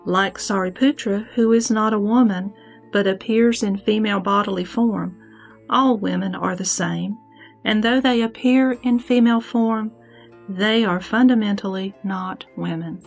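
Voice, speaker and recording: A woman's voice: medium pitch, creaky. Serene, wise goddess voiced by a woman, music behind. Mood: calm, instructive. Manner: measured, didactic, declamatory. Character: serene, wise heavenly goddess teaching with calm authority. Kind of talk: scripted sutra audio drama. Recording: background music under the voice.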